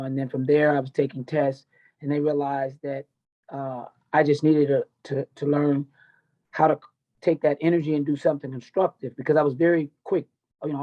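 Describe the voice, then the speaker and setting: A man in his fifties with an American accent and a fairly high voice, talking as a podcast guest. He tells personal stories bluntly and repeats himself for emphasis. The audio is somewhat dull and lo-fi. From United States, grew up in Tennessee and California. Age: 50s. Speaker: podcast guest, MMA coach. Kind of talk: candid personal storytelling in an interview.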